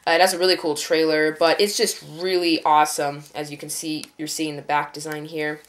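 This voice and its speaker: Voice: mid-range voice, flat delivery, fairly quick, fairly bright tone. Congested young card reviewer, casual and rambling. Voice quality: congested, stuffy nose. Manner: rambling, self-correcting, hedging. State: mild head cold. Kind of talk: casual unscripted product review. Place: indoors at a card table.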